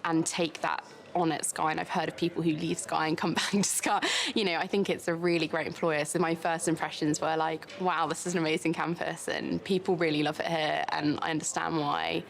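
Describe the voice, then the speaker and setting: A woman with a very low voice talks casually in an interview. Her voice has a gravelly fry, and she sounds relaxed and enthusiastic, with plenty of fillers. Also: fairly quick, hardly any pauses. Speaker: tech employee interviewee.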